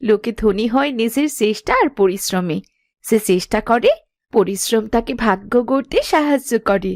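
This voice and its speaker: A woman playing a sensible, hardworking young village wife in a cartoon moral tale, gently chiding and earnest. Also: on the high side, expressive, moderate pace, no room sound, clean studio sound, lightly acted.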